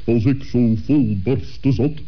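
Pompously pedantic owl played by a man, mid-pitched, for an old, muffled children's radio play. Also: unhurried. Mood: blunt. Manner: ponderous, wordy. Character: solemn, pedantic male owl, well-meaning.

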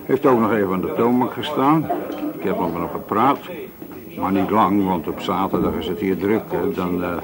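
An actor playing a talkative, matey café owner in a radio play, bustling and shrewd. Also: male voice, sits low, normal speed, over a noisy crowd, natural voice. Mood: chatty. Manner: talkative, matey, tags questions with "hè". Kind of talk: Dutch radio play acting.

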